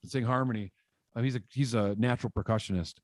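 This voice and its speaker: A man in his thirties from Michigan tells stories of his early years as a musician, talking in loose, rambling bursts full of fillers. His voice sits at an ordinary middle pitch, close and dry on a boom-mounted studio mic in a small room at home. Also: quick pace, no echo. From Michigan, USA. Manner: animated, rambling, lots of "like" fillers and gestures. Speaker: guest musician. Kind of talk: casual interview storytelling. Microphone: studio mic on boom arm, video call.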